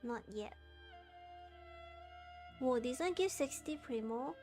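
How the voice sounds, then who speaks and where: High-voiced Malaysian woman game streamer. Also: lively intonation, slow, mellow. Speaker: livestreamer. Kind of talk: casual game stream commentary. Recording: game music behind voice. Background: game music and dialogue.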